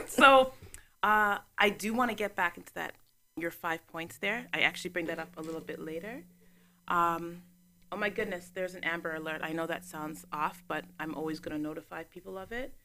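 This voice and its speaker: Woman talk host, chatty interviewer, bright forward tone, slightly low pitch, laughs easily. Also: moderate pace, a little hiss or hum. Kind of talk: casual interview chat.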